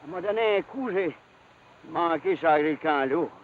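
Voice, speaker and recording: High-voiced, excitable Quebec countryman. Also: normal speed, narrow, tinny audio. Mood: excited. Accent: Quebec French. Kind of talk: 1950s French Canadian television drama acting. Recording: thin, very noisy old television soundtrack.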